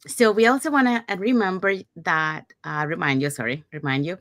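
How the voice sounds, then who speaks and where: A woman's voice: on the low side, a little fast, fairly bright tone, no room sound, clean studio sound. A woman, hosting a casual live online talk. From Panama. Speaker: host, digital business owner. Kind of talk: casual live webinar presenting.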